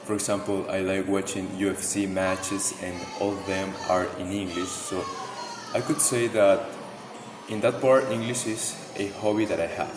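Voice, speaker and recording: A young man with an Ecuadorian Spanish accent answers exam questions fluently and politely, in a fairly low voice. The room is echoey and background noise is clearly audible. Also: steady tone, moderate pace. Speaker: student exam candidate.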